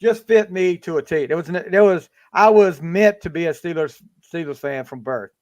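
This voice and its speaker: A man's voice: fairly high pitch, moderate pace, darkish tone, no echo. A fifty-year-old man hosting a casual sports podcast over a video call, talking in a rambling way with lots of restarts. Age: 50s. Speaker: podcast host. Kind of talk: casual chat.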